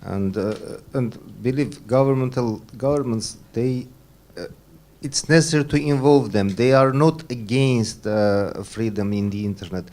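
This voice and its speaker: A man's voice: mid-range voice, measured pace, a little mellow. A man speaking as a panelist, earnest, with broken phrasing. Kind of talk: panel discussion remarks.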